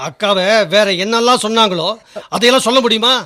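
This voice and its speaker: A man's voice: high-pitched, quick pace, bright, forceful tone, no room sound, clean studio sound. A man acting in a Tamil radio drama as an agitated, proud son, speaking in an indignant, insistent way. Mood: aggrieved, insistent. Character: agitated, proud son objecting to his sister's wedding.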